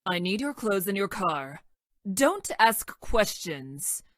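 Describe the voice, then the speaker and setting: A woman voicing a stranded time-traveling scientist in a video game, clinical and detached, speaking in stilted, formal, technical language with a deadpan delivery. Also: mid-range voice, slow, no room sound, clean studio sound. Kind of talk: scripted video game character lines.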